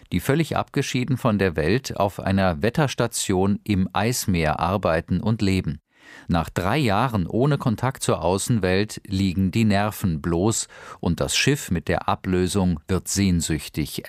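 A male radio announcer introducing a drama in a measured, formal scripted announcement. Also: sits low, dry recording, clean studio sound.

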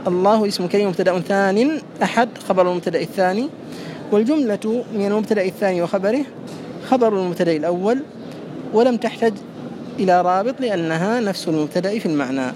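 A man's voice: sits high, noisy background. A man teaching a classical grammar lesson, methodically repeating and parsing each phrase for his students.

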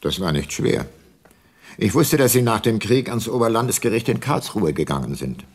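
Deep, husky, weary older detective. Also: male voice, big pitch swings, no room sound, exaggerated voice. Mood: probing. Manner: sober, measured, reflective. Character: weary, guilt-laden male private detective, ageing former prosecutor. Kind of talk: first-person radio play narration and dialogue.

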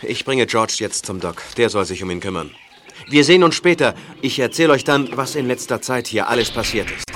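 Male actor as animal-keeper uncle, mid pitch, firm, reassuring, audio drama, clearly noisy background. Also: lively intonation, fairly quick, a bit bright, lightly acted. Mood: concerned. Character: calm, commanding uncle who raises and soothes lions. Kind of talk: German audio drama acting.

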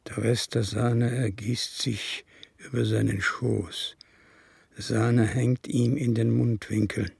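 An actor playing an embittered, unrepentant older communist in a radio drama, husky and gravelly, speaking slowly, deliberately and formally. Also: male voice, medium pitch, fairly even intonation, a little mellow, no room sound, heavily acted. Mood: grim, haunted. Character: embittered old communist comrade confessing, resolute and unrepentant. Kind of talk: radio drama monologue and dialogue.

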